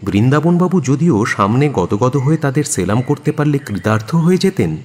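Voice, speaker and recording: Husky, animated male storyteller. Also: medium pitch, normal speed, in a large hall. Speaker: narrator. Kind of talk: dramatic scripted storytelling.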